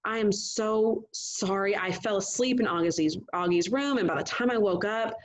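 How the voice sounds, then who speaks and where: A woman's voice: mid-range voice, normal speed, voice pushed up, close to an everyday voice. A woman voicing a protective, exasperated mother in a storybook reading, pleading and argumentative. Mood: apologetic.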